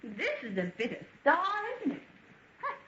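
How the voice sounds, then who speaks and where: A woman's voice: a little high, huge pitch swings, normal speed, fairly bright tone. An actress playing a brash, restless working-class runaway woman with an exclamatory, colloquial manner in an old radio drama. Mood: awed, curious. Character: rough-edged working-class runaway woman, wide-eyed, cheeky, restless. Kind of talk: golden age radio drama acting. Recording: dull, noisy vintage radio recording.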